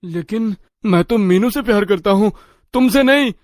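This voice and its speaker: A woman plays a young male groom in a cartoon horror story. He is anxious and stubborn, protesting in a voice of middling pitch. The sound is dry and close. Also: normal speed, a little mellow, dry recording, close to an everyday voice. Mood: alarmed, protesting.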